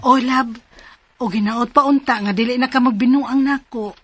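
High-voiced, wronged young Cebuano mother. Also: fairly even intonation, moderate pace, a bit bright, breathy edge. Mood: sorrowful, reflective. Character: wronged young working mother, long-suffering, fiery when betrayed. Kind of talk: radio drama acting and first-person narration.

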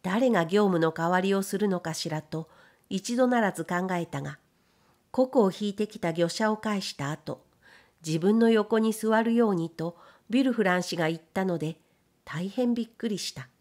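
A woman's voice: medium pitch, moderate pace, darkish tone. A woman reading a literary novel aloud as an audiobook narrator, in a scripted storytelling style.

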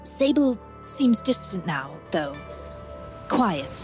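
A woman's voice: medium pitch, big pitch swings, slow pace, music in the background. A woman playing a proud, fervent young tribeswoman, narrating her journal dramatically in an audio drama. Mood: awed, fervent.

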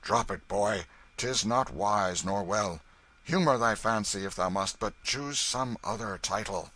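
A man's voice: mid-range voice, sing-song, a little slow, bright, forceful tone, breathy edge, pitched above the performer's own voice, exaggerated voice. A man voicing a burly, blustering but good-natured vagabond chief from a storybook, with commanding archaic speech. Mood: firm, good-natured.

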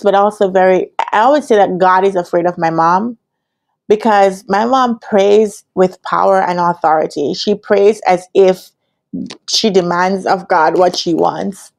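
Casual vlog storyteller: Jamaican woman in her thirties, mid-pitched, expressive, acts out the dialogue. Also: creaky. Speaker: business analyst trainer telling her career story. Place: quiet indoor room with curtains. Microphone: lapel.

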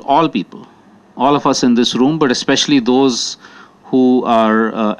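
A man from India, speaking as a panelist and making his points thoughtfully with many fillers and restarts. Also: mid-range voice. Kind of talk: panel discussion remarks. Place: conference hall.

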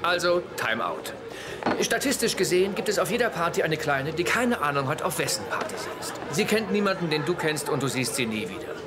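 A man's voice: fairly high pitch, very animated, a little fast, a crowd in the background, background noise. Smug party wingman, show-offy, tv dub with laughs in the back. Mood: scheming. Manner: cocky, theatrical, playful. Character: smug womanizing man, scheming party wingman. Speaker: dub actor. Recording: dubbed TV audio with music and laughter.

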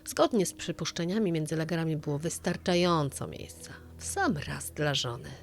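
A woman's voice: low-pitched, lively intonation, moderate pace, creaky, a little hiss or hum. A woman narrating a true-crime story as a dramatized audio reading.

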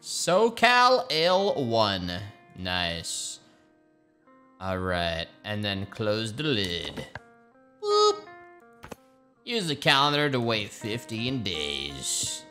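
A man in his thirties streams video games and talks in a bright, lively voice that swings up and down in pitch. He is profane and excitable, drawling his words slowly while game music plays faintly underneath. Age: 30s. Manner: profane, excitable, trash-talking. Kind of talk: casual gaming stream chat.

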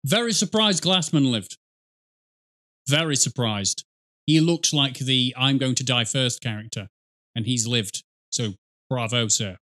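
A man's voice: medium pitch, lively intonation, a little slow, long pauses, noisy background. A middle-aged man giving casual reaction commentary as a video host, speaking close to the mic.

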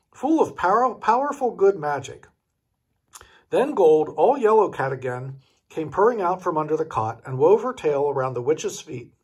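A man's voice: on the high side, slightly rough. An older man reading a children's storybook aloud expressively, as a grandfather would.

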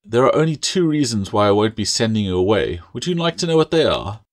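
A man's voice: on the low side, very animated, fast. A man voicing a stern, pompous older schoolmaster with a snapping, sarcastic manner, in an audiobook fantasy tale. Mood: mocking, severe.

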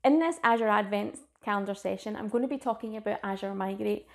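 A woman's voice: medium pitch, fast talker, vocal fry. Scottish woman, 30s, tech presenter, chatty and keen, informal delivery, webcam in a small room. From Scotland. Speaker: cloud advocate presenting a conference session. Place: small indoor room with a plain wall.

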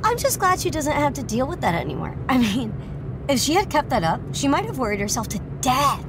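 A woman's voice: high-pitched, very animated, brisk, noisy background. An actress playing a bitter, sarcastic young woman with a vengeful streak in an audio drama, with a biting manner. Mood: bitter sarcasm. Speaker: actor in a scripted podcast. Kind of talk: scripted audio drama acting.

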